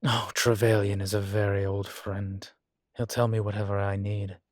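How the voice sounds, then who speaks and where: A man playing a guilt-ridden, gentle and wry ancient vampire in an intimate audio roleplay, speaking in a halting, reflective way. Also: fairly low pitch, flat delivery, no room sound, studio quality, close to an everyday voice. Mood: resolved, courteous. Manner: halting, reflective, with sighs and soft chuckles. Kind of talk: intimate one-sided audio roleplay acting.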